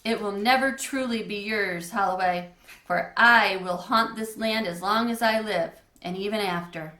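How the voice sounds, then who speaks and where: A woman's voice: medium pitch, a little slow, pushed and bright, roomy sound. A woman voicing a serious male camp director who declaims a spooky campfire legend from a storybook. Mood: dramatic.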